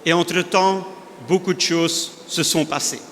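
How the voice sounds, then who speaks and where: A man from northern Belgium with a Flemish accent, a native Dutch speaker, giving a measured conference talk with frequent restarts. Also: a little high, fairly even intonation, fairly bright tone, slight background noise. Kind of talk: conference presentation. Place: large conference hall. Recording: reverberant hall, faint ambience.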